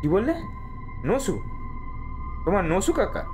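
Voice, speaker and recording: A man's voice: high voice, sing-song, slow, music in the background, heavily acted. An actor playing a worried, middle-aged village father in a horror audio drama, anxious and admonishing, full of questions. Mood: shocked.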